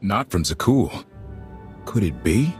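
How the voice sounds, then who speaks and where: Brash pilot, animated, slightly deep, wry, grumbling, video game, clear music behind. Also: male voice, takes it slowly, full character voice. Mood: astonished, excited. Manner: blunt, wry, exclamatory. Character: brash, grumbling male pilot, cocky and excitable. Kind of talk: scripted video game character acting.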